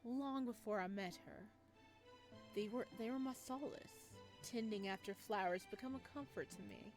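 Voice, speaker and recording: A woman voicing a soft-spoken, devoted male florist in a visual novel, in a soft, measured and tender manner, with game music behind. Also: a little high, natural voice. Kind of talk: reading a visual novel aloud.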